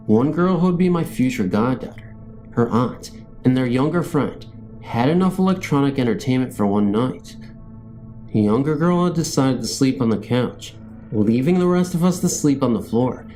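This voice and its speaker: A man's voice: medium pitch, mellow, rough recording. A man reading scary stories as a narrator, in a scripted storytelling style.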